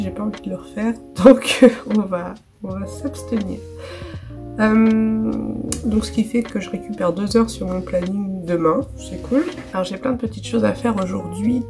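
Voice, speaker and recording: Hoarse woman in her thirties, losing her voice. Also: medium pitch. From France. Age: 30s. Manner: soft, saving her voice, many fillers. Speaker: illustrator. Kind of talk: casual studio vlog. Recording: music playing behind.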